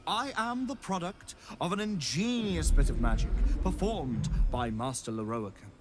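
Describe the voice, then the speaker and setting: A man's voice: a little high, expressive, moderate pace, lightly acted. A man playing a polite, pompous, officious magical construct clerk in a fantasy video game, speaking in formal, wordy sentences. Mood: proudly formal. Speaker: shop attendant.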